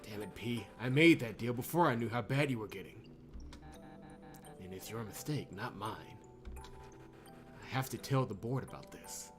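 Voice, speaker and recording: A man voicing a concerned medic from a video game in an earnest, measured, admonishing way. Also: mid-range voice, music in the background, natural voice. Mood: grave concern. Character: concerned, cautious medic warning a stubborn fighter.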